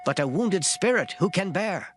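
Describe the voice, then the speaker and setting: A man giving a dramatized Bible reading in a solemn, measured, declamatory manner. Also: high-pitched, animated, fairly bright tone. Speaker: scripture reader. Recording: plucked guitar music behind voice. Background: plucked string music.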